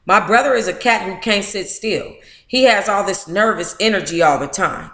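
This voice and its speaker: An American woman reads aloud as a book club host in an echoey room. Her delivery is informal and animated, moving between narration and playful, blunt asides. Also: medium pitch, moderate pace. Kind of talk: informal read-aloud with candid, profane asides.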